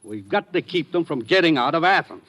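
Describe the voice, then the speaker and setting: A man's voice: on the high side, animated, brisk, a bit bright. An American man playing a serious, authoritative commissioner in 1950s radio drama. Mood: grave. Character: authoritative, serious male commissioner briefing his agent.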